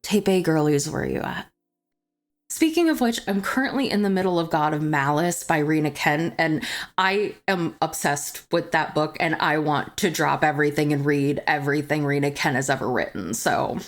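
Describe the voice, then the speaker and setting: A woman's voice: low-pitched, moderate pace. A woman hosting a book vlog, chatting in a semi-scripted, enthusiastic way. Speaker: book vlogger, host. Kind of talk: casual chat to camera, semi-scripted book review.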